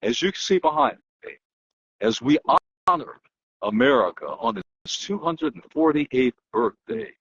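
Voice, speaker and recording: A man giving an emphatic, declamatory dramatic reading in the manner of a public orator, with weighty pauses and a husky voice. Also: mid-range voice, very animated, fairly bright tone. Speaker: political analyst and host. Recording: dull, lo-fi muffled sound.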